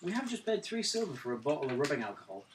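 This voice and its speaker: A man's voice: a little high, very animated, rapid-fire. Teasing man at a game table. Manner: haggles in character, dry and teasing. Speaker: player. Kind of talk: casual play at a game table. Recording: noisy roomy recording from a distance.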